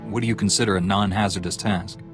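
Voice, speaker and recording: A man playing a calm, self-assured Jedi knight hero in a video game. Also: lowish voice, fast talker, lightly acted. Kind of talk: video game character acting. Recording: music behind the voice.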